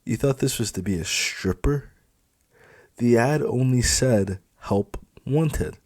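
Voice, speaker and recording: A man's voice: mid-range voice, slow, darkish tone, no room sound, studio quality, pitched above the performer's own voice. A man voicing a smug, crude club supervisor in an audiobook, dismissive and impatient with a sneering manner. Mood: condescending, taunting. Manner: sneering, exasperated, mouthy. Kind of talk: dramatised audiobook dialogue.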